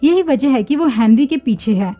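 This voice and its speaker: A woman narrating a film recap in a steady, scripted storytelling manner. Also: high-pitched, normal speed. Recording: voice-over with faint music behind.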